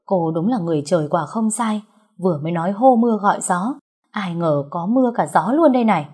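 Male household master and official voiced by a woman: effusive, deferential, exclaiming, somewhat husky, storybook delivery. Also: on the low side, animated, a little fast, a little mellow. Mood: awed.

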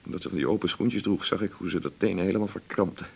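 An actor playing a lonely, teasing, self-mocking doctor in a naturalistic radio drama, talking in a wry, confiding way. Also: male voice, low voice, fast talker, creaky, a little mellow, slightly rough, heavily acted. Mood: wry, confessional. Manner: wry, confiding, short clipped phrases.

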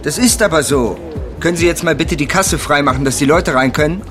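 A man's voice: a little high, a little fast, over music, close to an everyday voice. An actor playing a brusque, contemptuous doorman who turns guests away, in a radio drama. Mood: curt, dismissive. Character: sneering, abrupt male doorman turning a guest away.

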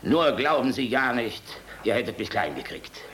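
An actor playing a defiant, world-weary middle-aged general in a German radio drama, sardonic, brooding and biting. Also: male voice, mid-range voice, very animated, moderate pace, a bit bright. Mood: defiant, scornful. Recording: clearly noisy radio drama recording.

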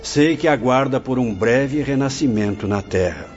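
A man's voice: mid-range voice, moderate pace, darkish tone, lightly acted. Man acting a kindly elder Brazilian friar, muffled. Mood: reassuring. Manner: benevolent, formal, reassuring. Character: kindly, pious elder friar, fatherly mentor. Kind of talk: radio novel acting. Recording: dull lo-fi audio with faint music.

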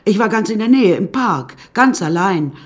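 A woman's voice: medium pitch, expressive, normal speed, natural voice. An actress playing a wry woman who recounts her death-strewn childhood in a radio play, dry and confiding. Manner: dry, darkly comic, confiding.

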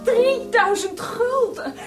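An actress playing a composer's sharp-tongued, nagging wife, exasperated and mocking, in a radio play. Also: female voice, very high voice, slow pace, over music. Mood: excited. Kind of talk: radio drama acting.

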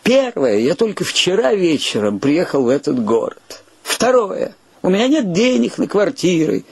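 A penniless, philosophizing drifter in a radio play. The voice is somewhat high and lively, with a husky grain and a close, dry sound. He is wry and plaintive, forever hesitating theatrically. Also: moderate pace, dry recording, exaggerated voice. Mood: proud, reluctant. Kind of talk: Russian radio play acting.

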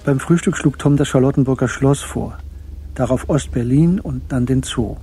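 A man narrating a German literary radio play in a measured, sober storytelling manner. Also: medium pitch, warm tone, lightly acted. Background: music.

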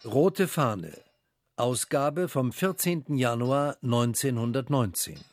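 Radio drama narrator, male actor: slow, measured delivery, sober and factual. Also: medium pitch, dry recording, lightly acted. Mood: neutral. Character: sober male documentary announcer. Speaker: announcer of sources, dates and places.

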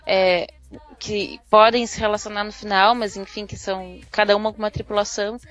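Mid-pitched Brazilian woman in discussion. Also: little pitch movement, brisk, fairly bright tone, muffled recording, faint music behind. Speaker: podcast panelist. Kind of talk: casual discussion.

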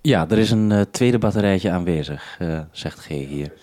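A Dutch man with a fairly low voice presents in a casual two-way radio exchange, asking after the other person with concern. The old 1970s recording has faint noise and clicks. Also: expressive, normal speed, a little mellow. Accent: Dutch, Netherlands. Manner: solicitous, questioning.

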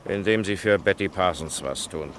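A formal, firm prosecutor in a 1950s radio drama. His voice is deep, set against clear background noise. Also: normal speed, a bit bright.

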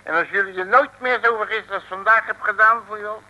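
An actor playing a cocky, blustering detective with colourful slang and mock threats in an old Dutch comic radio serial. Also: male voice, high-pitched, fairly even intonation, a little fast, pushed and bright, highs cut off. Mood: smug, blustering. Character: cocky, blustering, streetwise male detective, bluffing his way through. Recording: faintly noisy old radio recording.

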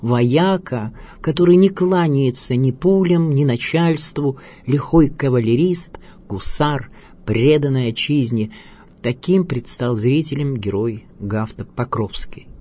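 A man narrating a scripted radio biography in a measured, expressive storytelling manner. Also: mid-range voice. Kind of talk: scripted documentary narration with dramatic readings. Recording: music bed under voice. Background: music.

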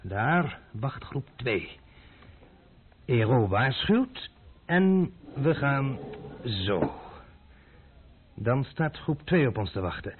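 A man's voice: medium pitch, sing-song, drawn-out words, long pauses. A stubborn young man in a radio drama, wary and a little cheeky. He talks in a dry, hesitant way with very varied intonation. The recording is tinny and narrow, with faint noise underneath. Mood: puzzled, working out. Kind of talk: radio play acting.

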